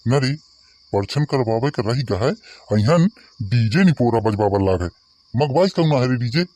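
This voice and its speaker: A man voicing a stern, scolding village family elder in a Bagheli cartoon, speaking gruffly with a Bagheli accent. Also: mid-range voice, lively intonation, moderate pace, a little mellow, faint music behind, natural voice. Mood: irritated, scolding. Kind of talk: dialect comedy cartoon voice acting.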